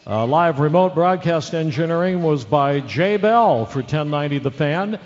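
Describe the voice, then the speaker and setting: A man working as a radio announcer, reading show credits live in a playful, drawn-out style. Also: a little high, expressive. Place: live theater hall. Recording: dull hall recording with faint music.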